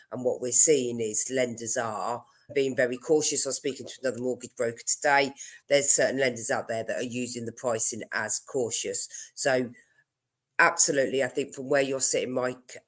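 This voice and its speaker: A woman mortgage broker with a stuffy nose from a cold, chatting casually and explaining her advice with everyday examples. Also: extremely low pitch.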